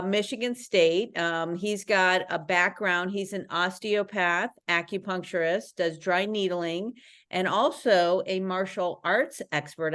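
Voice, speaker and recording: Chatty woman podcast host, low voice. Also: a bit bright, clean tone. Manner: upbeat, chatty, halting with fillers. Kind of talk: podcast interview.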